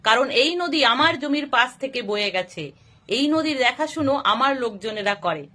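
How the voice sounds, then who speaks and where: Woman voicing a high, bright, bullying village headman. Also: lively intonation, normal speed. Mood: domineering. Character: greedy, bullying village headman, later humbled.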